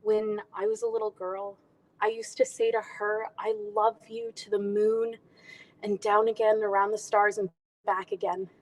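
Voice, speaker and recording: A woman performing a dramatic monologue as a wounded, bitter grown daughter confronting her mother, emotional and pausing deliberately. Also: medium pitch, level tone, a little mellow, close to an everyday voice, low-quality recording. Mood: tender, wistful. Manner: emotional, tearful, deliberate pauses.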